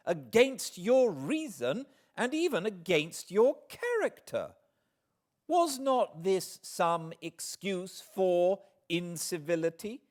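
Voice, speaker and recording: A man voicing a spirited, indignant young English gentlewoman with an emphatic, articulate, accusing delivery in an audiobook. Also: on the high side, voice pushed up. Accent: British.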